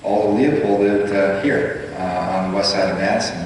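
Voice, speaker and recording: A man with a fairly low voice talks off the cuff, as in an interview. He sounds distant in a strongly reverberant hall, with noticeable background noise. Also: fairly even intonation, normal speed. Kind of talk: unscripted documentary interview. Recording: noisy echoey hall playback from far off.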